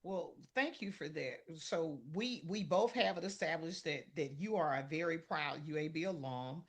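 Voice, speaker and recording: Gravelly-voiced male podcast host. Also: a little high, normal speed, fairly bright tone. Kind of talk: interview questions.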